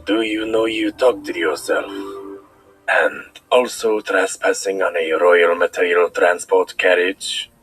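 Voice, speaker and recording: Very deep griffin henchman, slyly menacing, played by a man. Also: fairly even intonation, a bit bright, faint music behind, poor audio. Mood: smugly menacing. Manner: measured, polite, subtly threatening. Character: smooth, sinister male griffin henchman, calmly persuasive. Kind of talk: audio drama villain acting.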